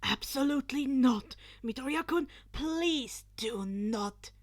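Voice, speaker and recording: A woman's voice: high voice, expressive, slow pace, voice pushed up, exaggerated voice. A woman voicing an uptight, formal teenage boy in a story reading, scolding and emphatic in manner. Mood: indignant.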